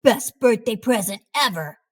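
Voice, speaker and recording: Woman doing a high, blunt young boy fish. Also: lively intonation, unhurried, husky. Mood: pleased. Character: young boy fish, the shark's exasperated best friend.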